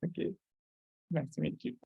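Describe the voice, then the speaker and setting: A man's voice: moderate pace, dry recording, muffled recording. A man with a Japanese accent nervously introducing himself as a visiting researcher. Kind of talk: self-introduction.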